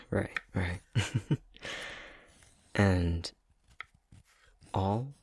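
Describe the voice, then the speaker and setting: A young man playing a clumsy, self-conscious fox-shifter boyfriend in an intimate ASMR roleplay, speaking hesitantly with a soft, breathy, gravelly voice. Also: deep voice, drawn-out words, no room sound, exaggerated voice. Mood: sheepish, teasing. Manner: hesitant, stammering, self-deprecating.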